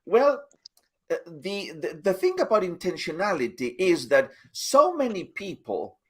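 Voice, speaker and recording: Man, motivational host, lively rising and falling pitch, slow deliberate delivery, repeats himself. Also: breathy edge. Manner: earnest, repeats phrases. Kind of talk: motivational live talk.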